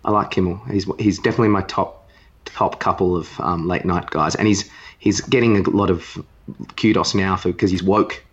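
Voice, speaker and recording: A man's voice: sits low, steady tone, normal speed, husky, highs cut off. A man co-hosting a podcast with casual banter in an offhand, joking way. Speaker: podcast co-host.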